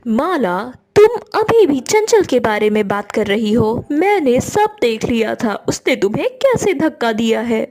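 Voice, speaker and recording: Woman playing a stern, animated young husband. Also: a little high, a little slow, hardly any pauses. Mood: firm, annoyed. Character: stern, protective young husband, angry at his wife. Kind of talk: dramatized cartoon moral story.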